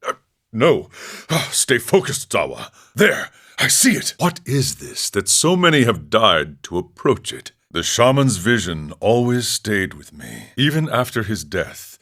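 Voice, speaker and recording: A male voice actor playing a grave, proud yet regretful warrior monk in a video game, speaking in a measured, philosophical way. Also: lowish voice, fairly bright tone, heavily acted. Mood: urgent, excited. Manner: measured, philosophical, speaks of himself in third person. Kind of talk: scripted video game character dialogue.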